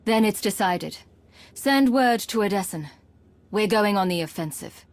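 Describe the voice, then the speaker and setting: A woman's voice: mid-range voice, lively intonation, unhurried, dry recording. An actress playing a composed female spy turned commander in a video game, speaking in a cool, decisive, dry manner. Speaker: player character commander. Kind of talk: video game cutscene acting.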